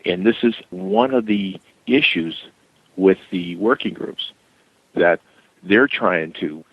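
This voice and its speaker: Deep-voiced guy, slow careful answers full of uhs, muffled dry phone sound. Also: breathy edge, no room sound. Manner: measured, hesitant with many fillers. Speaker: interview guest. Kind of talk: casual phone interview.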